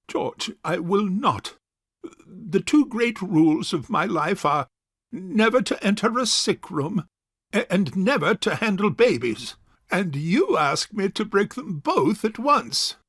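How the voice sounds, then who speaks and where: A man playing a stubborn, pompous bachelor uncle in a stage farce, speaking formally and with measured care. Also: high-pitched, very animated, a bit bright, slightly rough, dry recording, clean studio sound, heavily acted. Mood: flustered, stubborn. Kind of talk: stage farce audio drama acting.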